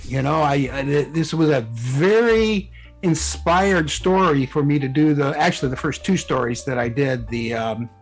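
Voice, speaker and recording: A man's voice: fairly high pitch, normal speed, low-quality recording. A man talking casually as a guest writer being interviewed about his work. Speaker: guest author, fan fiction writer. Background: background music.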